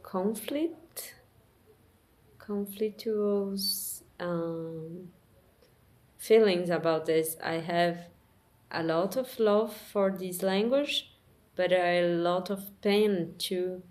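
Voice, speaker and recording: Woman, halting English monologue, very slow and soft-spoken. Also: fairly low pitch. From Brazil. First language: Portuguese. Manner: halting, searching for words, many pauses and restarts. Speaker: vlogger. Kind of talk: personal reflective monologue.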